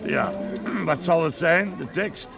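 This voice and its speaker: A man's voice: fairly high pitch, takes it slowly, vocal fry. An actor playing a sociable middle-aged village father in a television drama, rambling and folksy. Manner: rambling, folksy, with murmured repetitions. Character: chatty, sociable village father, proud of his sons. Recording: loud band music behind. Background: brass band music.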